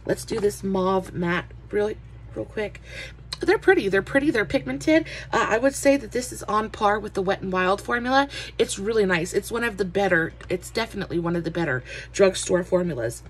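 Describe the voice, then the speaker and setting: A woman's voice: mid-range voice, animated, normal speed, slight background noise. Chatty woman with stuffy allergies. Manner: chatty, many "uh" fillers, friendly greetings. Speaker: beauty vlogger. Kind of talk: casual makeup review.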